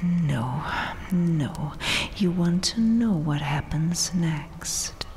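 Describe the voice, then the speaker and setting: A woman voicing a vengeful, coldly dominant vampire queen in a breathy, mocking, regal whisper for an ASMR roleplay. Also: fairly low pitch, animated, mellow, a little hiss or hum. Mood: coolly taunting. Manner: slow, deliberate, mocking, regal.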